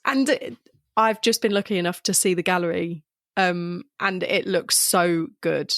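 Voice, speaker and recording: Southern English woman, chatty podcast host, quick to laugh, lively delivery. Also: fairly low pitch, creaky, no room sound, clean studio sound. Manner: giggly, quick-laughing, animated. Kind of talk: casual podcast chat.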